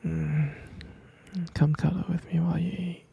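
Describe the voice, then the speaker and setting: A man playing a doting, gently teasing boyfriend in an ASMR roleplay, murmuring tenderly and hesitantly in a breathy, gravelly whisper. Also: on the high side, level tone, muffled recording, exaggerated voice. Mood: tender, adoring. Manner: slow, tender, hesitant murmuring with pet names.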